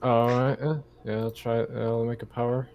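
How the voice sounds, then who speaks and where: A man's voice: mid-range voice, little pitch movement, fairly quick, muffled recording. A man chatting casually as a player in an online tabletop roleplaying game. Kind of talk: casual chat during online gaming. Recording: faint background noise on voice call.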